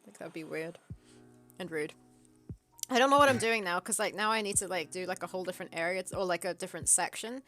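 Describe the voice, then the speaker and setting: A woman talks casually with her co-host on a live stream, chatty and quick to laugh. Her voice sits a little low. Faint music plays underneath. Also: fairly bright tone.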